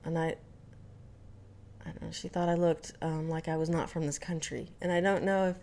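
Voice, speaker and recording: A young woman from Dallas, Texas chats to camera in a relaxed vlog, with no regional twang in her speech. Her voice sits a bit low, and she rambles along with frequent ums, uhs and self-corrections. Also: moderate pace, slight background noise. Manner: chatty, many fillers and restarts. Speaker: vlogger. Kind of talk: casual storytelling to camera.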